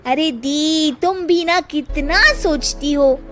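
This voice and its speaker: A woman voicing a mischievous, bubbly younger sister in a cartoon story, teasing, excitable and dramatic. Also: high voice, slow pace, soft background music. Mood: playful teasing. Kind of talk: cartoon moral story voice acting.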